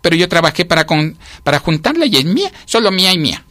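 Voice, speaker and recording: A fussy, hardworking female ant from a storybook, acted out by a man. The voice is pushed high and leaps about dramatically. She is bossy, easily outraged and scolds with heavy emphasis. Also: big pitch swings, fast, bright, forceful tone, no echo. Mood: indignant, possessive.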